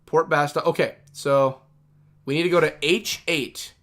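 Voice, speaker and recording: A man's voice: a little high, fairly even intonation, a little slow, fairly bright tone. A man in his thirties streaming a game, thinking aloud in a rambling, chatty way. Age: 30s. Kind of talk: casual livestream chat.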